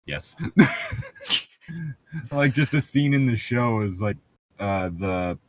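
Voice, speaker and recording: A man's voice: on the low side, expressive, slow pace. A man doing casual, mocking read-along commentary as a show host, jokey and profane as he rambles. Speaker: host of a comedic book-reading show.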